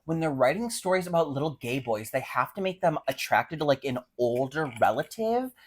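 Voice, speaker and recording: A man's voice: a little high, animated, fairly quick. Rambling, blunt man in casual book chat. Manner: rambling, heavy use of "like"; blunt, sweary, opinionated. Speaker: podcast co-host discussing short fiction.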